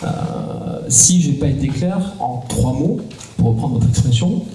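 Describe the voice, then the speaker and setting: A man's voice: medium pitch, lively intonation, measured pace, dark tone. A man in his forties from southern France, a startup cofounder explaining his company on a conference panel, with many hesitant fillers. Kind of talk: round-table conversation. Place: conference hall. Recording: echoey hall, distant mic, faint noise.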